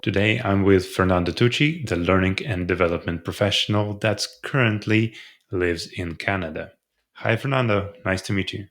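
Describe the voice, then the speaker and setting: A man's voice: sits low, normal speed, a bit bright, soft background music. A man hosting a tech podcast, asking questions in a friendly, loose way with fillers. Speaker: podcast host. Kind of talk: casual interview chat.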